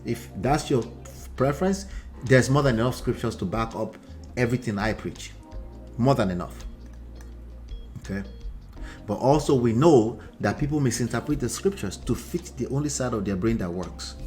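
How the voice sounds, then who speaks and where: A man with a Nigerian accent whose first language is the Akure dialect, hosting a relationship livestream, reading out viewer comments and repeating points with animated emphasis. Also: mid-range voice, normal speed, long pauses, soft background music. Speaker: relationship coach host.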